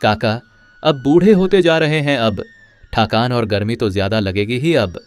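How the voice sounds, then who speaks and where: Male actor as rustic farmhand, medium pitch, familiar and plain-spoken, story drama with music under. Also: normal speed, smooth, lightly acted. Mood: teasing. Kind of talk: dramatized moral story acting.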